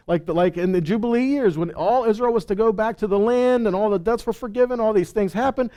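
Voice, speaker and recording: A man's voice: high voice, darkish tone. A man giving a conversational Bible teaching, explaining didactically and often posing questions that he then answers himself.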